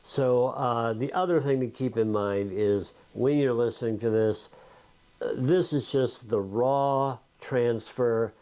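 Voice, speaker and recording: Slow-paced, mellow man explaining. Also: mid-range voice. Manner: explanatory, conversational. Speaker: video host. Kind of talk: informal tutorial talk to viewers.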